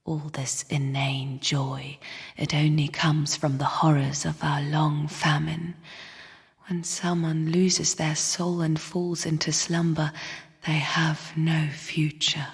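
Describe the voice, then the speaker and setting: Bleak whispering spirit sister, very breathy. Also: female voice, low voice, little pitch movement, full character voice. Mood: bitter, weary. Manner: slow, hushed, cryptic and scornful. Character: bleak, bitter female spirit sister of a dying void. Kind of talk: video game character monologue. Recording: long reverberant hall echo.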